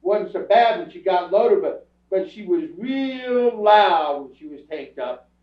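A man playing a defensive, self-pitying, streetwise bum under questioning in a staged radio crime drama, protesting in halting, ungrammatical speech. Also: high voice, animated. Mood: griping. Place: theatre stage before an audience. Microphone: far camera. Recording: roomy theatre stage from far off, muffled.

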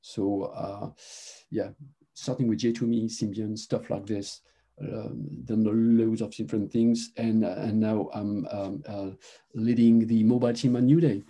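A middle-aged man from France with a French accent, presenting a webinar over a video call and talking haltingly with many fillers and restarts. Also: on the low side, little pitch movement, warm tone, breathy edge. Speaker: presenter, mobile engineering manager.

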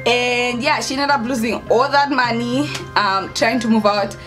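A young adult woman with a Kenyan accent, telling a story in casual vlog style, expressive and emphatic, repeating words for stress. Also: a little high, measured pace, music in the background. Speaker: vlogger, storyteller. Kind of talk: casual storytime chat to camera.

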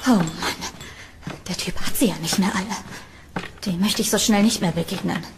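A woman's voice: medium pitch, normal speed, background noise, close to an everyday voice. An actress playing a curious, polite, uneasy young woman tenant in a German horror audio drama, hesitant and slightly sardonic. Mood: muttering, irritated.

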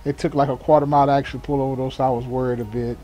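A man's voice: medium pitch, little pitch movement, very fast, dark tone, highs cut off, a little hiss or hum. A man doing improvised police radio call-outs in a game, mixing clipped procedural jargon with casual muttered asides. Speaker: gamer playing a sheriff's deputy in a police patrol role-play. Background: game vehicle and ambient sounds.

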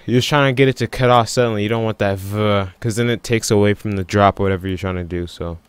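Smooth, low-voiced casual man giving a tutorial. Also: steady tone, fast. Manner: offhand, unscripted, thinking aloud. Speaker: music producer giving a software tutorial. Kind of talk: casual screen-recording tutorial.

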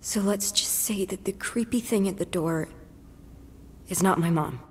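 A woman voicing a hurt, stubborn twelve-year-old child in a video game, raw, defensive and breathy with grief. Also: medium pitch, a little slow, a little hiss or hum, natural voice. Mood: lost, subdued. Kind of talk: dramatic video game cutscene acting. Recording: long reverb.

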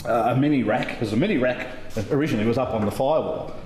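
A man's voice: mid-range voice, fast, darkish tone. An Australian man in his sixties, a car collector chatting casually and with some hesitation about his cars in a large workshop shed. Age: 60s. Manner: rambling, hesitant, laughs easily. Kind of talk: casual interview chat. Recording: very echoey shed with noise.

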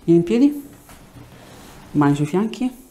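A man's voice: fairly high pitch, animated, a little slow, warm tone. A man, a chiropractor giving short hands-on instructions and explanations to a patient in a treatment room. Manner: short directive phrases. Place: small clinic treatment room indoors. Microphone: lapel mic.

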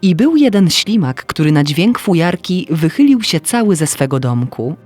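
A woman's voice: on the low side, sing-song, moderate pace, darkish tone, soft background music. A woman reading a scripted fairy tale as narrator.

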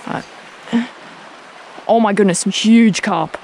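A young man hosting a fishing vlog, speaking in brief, relaxed remarks with quiet enthusiasm, recorded outdoors on an action camera. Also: high voice, slow pace, gravelly, slight background noise. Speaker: fishing channel host. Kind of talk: casual fishing vlog chat. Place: outdoors on a creek bank. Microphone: wearable action camera.

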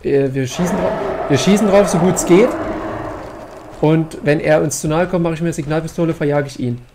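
A man's voice: fairly high pitch, a little fast, noisy background. A man streaming a co-op horror game, casually chatting and directing his teammates, yelping when attacked. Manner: directs the team, yelps and shouts when attacked. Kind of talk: casual gaming chat with teammates.

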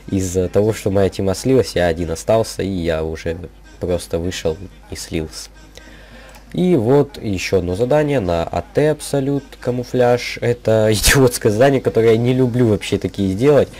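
Low, bright-less mellow young man, slightly sick. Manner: informal gamer slang, halting, thinking aloud. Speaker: gaming video creator. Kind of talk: casual rambling game commentary. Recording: music behind the voice. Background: background music.

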